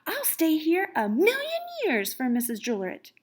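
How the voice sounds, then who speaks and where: A woman puts on a dramatic little storybook schoolgirl. The voice is high and swoops around widely, emphatic and heartfelt in every phrase. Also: huge pitch swings, moderate pace. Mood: sulky, self-pitying. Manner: theatrical, emphatic.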